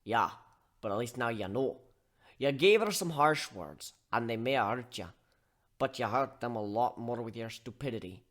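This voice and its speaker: A man's voice: medium pitch, expressive, normal speed, fairly bright tone, lightly acted. Man putting on a blunt, scolding barkeep. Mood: firm, advising. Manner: blunt, colloquial, lecturing with folksy slang. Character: gruff scolding barkeep, blunt tough-love older male mentor. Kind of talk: dramatic story reading.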